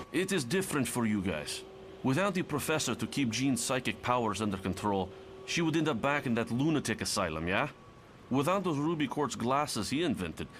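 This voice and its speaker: A man's voice: mid-range voice, lightly acted. Man playing a bitter young strongman, noisy backdrop. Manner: formal, uncontracted, emphatic speech. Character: bitter nineteen-year-old Russian mutant strongman, disillusioned and stubborn. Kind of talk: motion comic voice acting.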